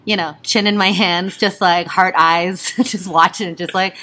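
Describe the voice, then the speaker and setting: A woman guest on a podcast, a stage performer, telling stories in an animated way and laughing often. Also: lowish voice, measured pace. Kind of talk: casual conversation.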